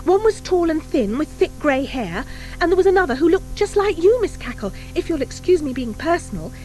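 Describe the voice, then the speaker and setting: A woman voicing an eager, anxious young schoolgirl witch in an audiobook, earnest, excitable and pleading. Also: high-pitched, fairly quick, soft background music, pitched above the performer's own voice, rough recording. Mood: earnest insisting.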